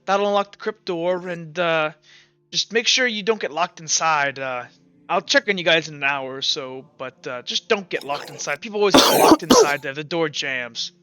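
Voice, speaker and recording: A man's voice: on the high side, pushed and bright, soft background music. A man voicing a cautious, weary priest giving plain warnings in a tabletop game. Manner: matter-of-fact warnings, unhurried.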